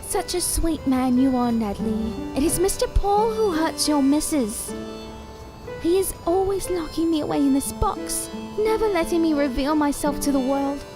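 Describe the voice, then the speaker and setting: A woman's voice: sits high, animated, normal speed, music in the background. An actress playing a small living doll, once a real woman, who speaks in a sweet, theatrical, old-fashioned way in an audio drama. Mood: sorrowful. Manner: theatrical, coaxing, ornate old-fashioned phrasing.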